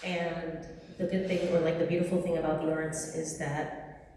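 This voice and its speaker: A woman's voice: deep voice, level tone, normal speed, distant mic, noisy background. A woman singer from the Dominican Republic, chatting casually in an onstage interview, loose and full of fillers. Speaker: singer and gigging musician. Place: live music venue. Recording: echoey hall heard from afar.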